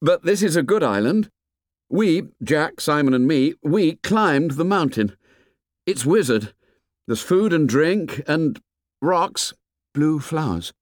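A man's voice: medium pitch, very animated, normal speed, no echo, clean studio sound, pitched above the performer's own voice, natural voice. A man voicing an earnest, commanding schoolboy leader in an audiobook, speaking in short emphatic phrases. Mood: upbeat. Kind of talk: audiobook character voice.

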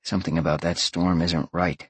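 A man's voice: deep voice, little pitch movement, moderate pace, breathy edge, no room sound. Man reading as tense, blunt young man. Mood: uneasy.

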